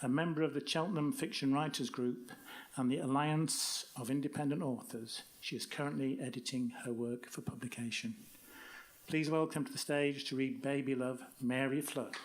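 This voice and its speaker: A man's voice: medium pitch, fairly even intonation, normal speed. A man acting as event organiser gives a prepared introduction for a reader at a live literary event. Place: live reading event at an arts venue.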